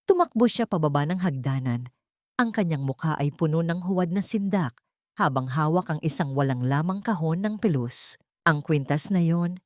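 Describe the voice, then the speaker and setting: A woman's voice: low voice, darkish tone, no echo, studio quality. A woman reading a scripted romantic drama audiobook as its narrator, in a dramatic storytelling style.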